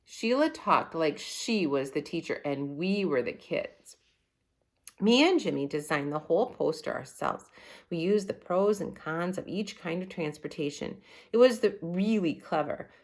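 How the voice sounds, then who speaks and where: A woman with a fairly low voice reads a children's story aloud. Her delivery is expressive and animated, with plenty of movement in pitch, in the style of a teacher. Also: a little slow.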